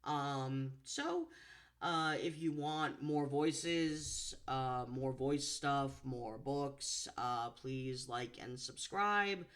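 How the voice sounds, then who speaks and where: Expressive contralto woman reading aloud. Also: drawn-out words. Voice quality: low contralto voice. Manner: expressive, playful. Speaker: voice actor reading storybooks. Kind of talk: storybook narration and chat.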